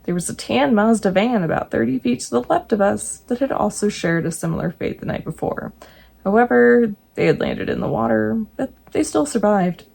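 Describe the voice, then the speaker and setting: A woman reading true ghost stories aloud, breaking in now and then with jokey, animated asides. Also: medium pitch, gravelly, slightly rough. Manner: animated, jokey asides between read passages.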